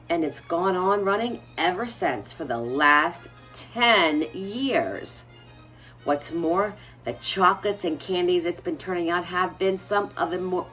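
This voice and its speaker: A woman reading a children's storybook aloud expressively, like a teacher, with soft music behind her. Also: on the low side.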